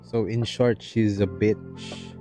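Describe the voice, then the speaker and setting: A man's voice: fairly low pitch, steady tone, slow. A man commenting casually on a game livestream, laid-back and given to crude joking. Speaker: game streamer. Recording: game music behind the voice.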